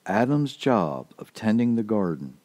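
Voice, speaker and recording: A man gives a homey podcast sermon in a low, mellow voice, recorded close and dry with no room sound. He is earnest and emphatic and keeps driving his points home. His voice sounds slightly worn. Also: big pitch swings, a little slow, no room sound. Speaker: podcast preacher.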